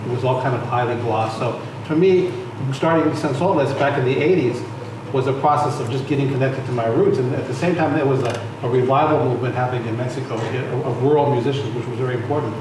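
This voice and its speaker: A man's voice: mid-range voice, fast talker. A middle-aged man speaking as a panel guest, conversational and storytelling, with frequent restarts. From United States. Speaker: panel guest, musician and arts center founder. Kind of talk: panel discussion. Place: lecture room on stage. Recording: very echoey room, distant mic, noisy.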